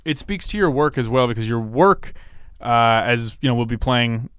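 A man's voice: mid-range voice, lively intonation, moderate pace, fairly bright tone, smooth, no room sound, clean studio sound. A man hosting a radio interview in a casual, wordy way, feeling his way through his phrasing. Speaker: radio show host.

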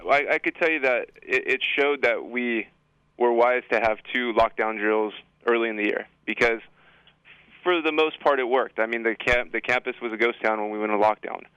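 A man's voice: medium pitch, moderate pace, a bit bright, clean tone, no room sound, lo-fi sound. A man giving informal, matter-of-fact explanations as a school administrator in a radio interview, often repeating and rephrasing himself. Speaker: high school assistant principal, interview guest. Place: radio studio.

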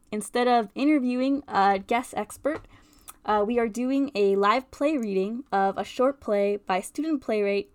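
A woman radio host reading out a show introduction in an informal, somewhat halting way. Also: a little high.

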